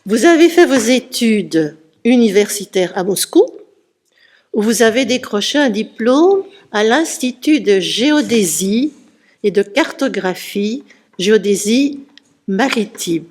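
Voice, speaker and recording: A woman presents a poet to an audience, walking through his life story in measured, explanatory sentences. Her voice sits at a middle pitch, and her pace is unhurried. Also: darkish tone. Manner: measured, explanatory, reading out a biography. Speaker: presenter, interviewer. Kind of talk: literary presentation.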